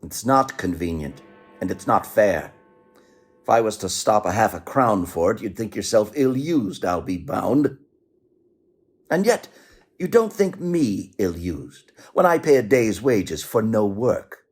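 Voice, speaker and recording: Scrooge, a low-voiced, curt old miser. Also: male voice, very animated, unhurried, husky, faint music behind, full character voice. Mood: grudging, irritated. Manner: curt, grudging, dismissive. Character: cold, miserly old male businessman, Scrooge. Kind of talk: radio play acting.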